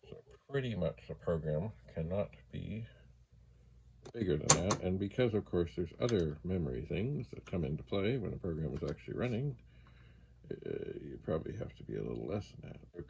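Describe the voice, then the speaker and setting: A man's voice: low-pitched, animated, moderate pace, gravelly, noisy background. A man hosting a hobby video, giving casual, rambling commentary as he demonstrates, with musing asides to himself. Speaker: host of a retro computer video channel.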